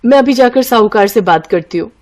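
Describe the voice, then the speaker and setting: A woman's voice: fairly high pitch, expressive, a little fast, darkish tone, close to an everyday voice. A woman voicing an eager, curious young village daughter in a storybook tale. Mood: earnest, reassuring. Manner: questioning, eager. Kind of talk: storybook character voice.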